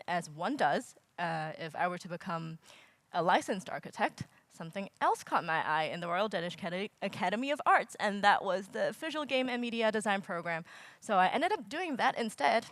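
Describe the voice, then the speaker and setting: A woman's voice: mid-range voice, dry recording. A young woman from Hong Kong giving a casual conference talk, explaining with frequent fillers and wry asides. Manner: casual, self-deprecating, filler-heavy explaining. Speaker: conference speaker. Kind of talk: presentation talk. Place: lecture hall stage. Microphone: handheld or stage mic.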